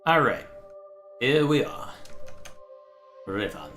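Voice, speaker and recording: A man's voice: medium pitch, sing-song, slow pace, a bit bright, reverberant, faint music behind. A man from Texas hosting a live game stream, thinking aloud in a casual, musing way. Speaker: livestream host playing a game. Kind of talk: casual live gameplay commentary.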